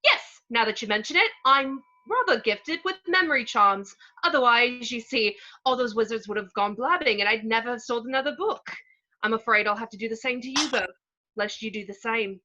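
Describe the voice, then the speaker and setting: A woman playing a vain, cowardly male wizard professor in a script read, pompous, halting and blustering. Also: on the high side, bright, forceful tone, dull audio. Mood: smug. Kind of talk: amateur table read of a film script.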